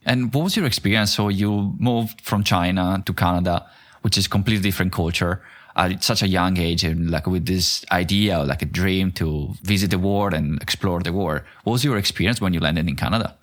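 A man's voice: deep voice, brisk. A man who interviews a guest, asking curious, long winding questions full of "like". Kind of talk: conversational interview.

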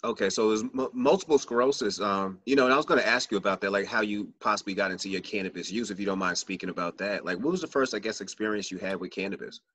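Fast-talking, upbeat male podcast host. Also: fairly low pitch, fairly bright tone, no echo. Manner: chatty, upbeat, loose, with restarts. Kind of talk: casual interview hosting.

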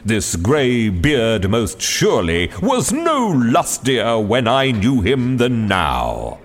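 A man's voice: mid-range voice, wildly swooping pitch, slow, full character voice. A man voicing a burly, obstinate, humorous Jacobean playwright who speaks in hearty, archaic phrasing. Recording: long hall reverb.

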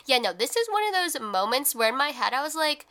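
Young woman, podcast host, congested, nasal, bright and tense tone, smooth clear voice, chatty. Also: high voice, very animated, a little fast. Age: young adult. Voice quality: more nasal than usual. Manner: chatty, lots of filler "like". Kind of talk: casual chat.

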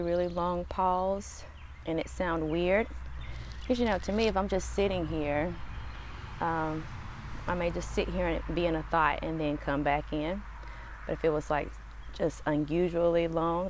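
A woman hosting her own podcast, talking casually outdoors with a small, slightly raspy voice and a relaxed, rambling way of speaking. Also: on the low side, a little hiss or hum. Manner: relaxed, rambling, chatty with fillers. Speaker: podcast host. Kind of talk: casual solo podcast talk. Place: outdoors in the sun.

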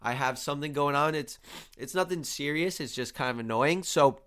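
Male podcast host, slightly high voice, stuffed-up and nasal, mildly tired, delivering earnest motivational coaching with repeated emphasis. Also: normal speed, fairly bright tone, smooth. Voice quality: nasal, congested. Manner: earnest pep talk, repetitive emphasis, often addressing listeners as guys. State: congested, a little tired.

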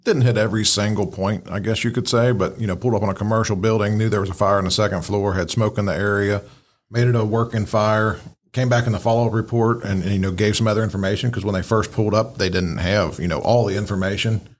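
Low-voiced man breaking down fireground tactics. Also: steady tone, fast talker. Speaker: podcast co-host and fire service instructor. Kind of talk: casual analytical commentary on fireground radio traffic.

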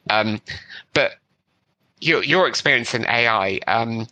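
A man's voice: medium pitch, takes it slowly, sharp tone. A man from the West Country with a neutral British accent, hosting a conversational podcast interview in a chatty way, with frequent restarts. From West Country, England. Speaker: podcast host.

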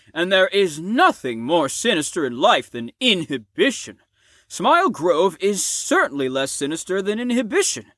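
A woman's voice: lowish voice, a bit bright, no echo, studio quality. A woman playing a brash, crude, flippant psychiatric patient in an audio drama, with a theatrical, swaggering manner.

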